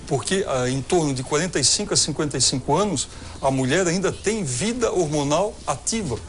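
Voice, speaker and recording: A man's voice: on the high side, expressive, a little fast. A man with a Brazilian Portuguese accent, a gynecologist answering viewers' questions on a TV health show in a methodical, explanatory way. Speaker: gynecologist guest expert.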